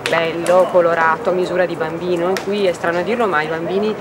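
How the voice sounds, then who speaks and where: A woman's voice: fairly low pitch, little pitch movement, fast talker. A woman, a charity board member answering an interview in a composed, informative way. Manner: composed, informative, some hesitations. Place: outdoors by a fishing lake. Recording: outdoors with a crowd behind.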